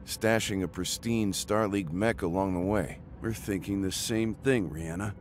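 A man playing a calm, pensive mercenary commander in a sci-fi video game, speaking in a measured, matter-of-fact way. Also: deep voice, close to an everyday voice. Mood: calm, thoughtful. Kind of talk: video game cutscene acting. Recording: music under the voice. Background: music.

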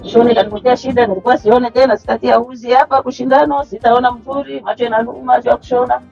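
A woman's voice: a little high, steady tone, rapid-fire, creaky, a little mellow, husky. A middle-aged woman tailor and mother of six giving a grateful, earnest testimonial in her workshop. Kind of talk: testimonial in Swahili. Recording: slightly dull lo-fi sound with faint music.

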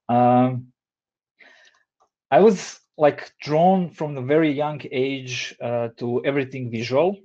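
A man in his thirties speaks English with a Croatian accent, easygoing and halting, with plenty of "uh"s. His voice comes through a headset on a video call. The sound is a bit dull and lo-fi. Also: medium pitch. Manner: relaxed, hesitant with many fillers. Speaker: design lead giving a talk. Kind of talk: online presentation. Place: home room, indoors.

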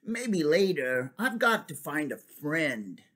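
A reader voicing a small, friendly jellyfish in a sing-song, high storybook voice. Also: sing-song, slow pace, fairly bright tone, full character voice. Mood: hopeful. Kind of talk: storybook character voice.

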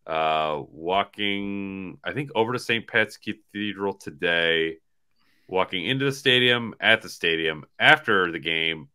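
Deep-voiced man talking sports, bright edge. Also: clear, resonant tone. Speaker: sports podcast co-host. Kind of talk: casual postgame sports chat. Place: small hotel room, indoors. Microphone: video call on laptop.

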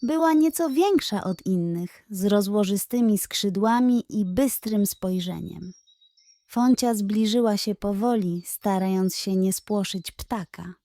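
A woman storyteller narrating a scripted children's bedtime tale in an expressive, measured way. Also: mid-range voice, dry recording.

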